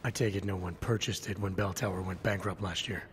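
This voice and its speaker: A man's voice: deep voice, fairly even intonation, a little fast, slight background noise. An actor playing a terse, guarded augmented male agent in a video game cutscene.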